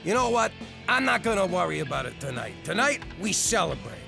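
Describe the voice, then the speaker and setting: Gravelly mob-boss dad. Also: male voice, high voice, very animated, fairly quick, music in the background, heavily acted. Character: gruff mob-boss club-owner dad. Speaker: father.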